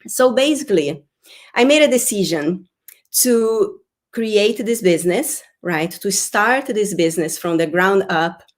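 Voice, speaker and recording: A woman's voice: mid-range voice, lively intonation, measured pace. Effusive woman with a Brazilian accent. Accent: Brazilian Portuguese. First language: Portuguese. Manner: effusive, repeats words warmly. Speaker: workshop host. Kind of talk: live-stream workshop talk.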